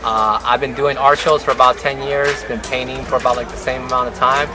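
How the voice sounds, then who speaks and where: A man's voice: mid-range voice, little pitch movement, brisk. A man from Peru who paints on glass, explaining his technique in a matter-of-fact way at an art fair. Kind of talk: casual self-introduction.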